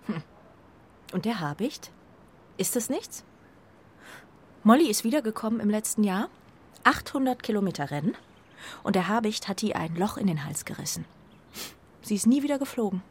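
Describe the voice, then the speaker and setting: Earnest, expressive carrier pigeon. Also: female voice, mid-range voice, normal speed, pauses often. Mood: proud, earnest. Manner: earnest, emotional, swings from breathy hush to cries. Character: earnest, anxious carrier pigeon, proud of its breed. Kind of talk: children's radio play acting.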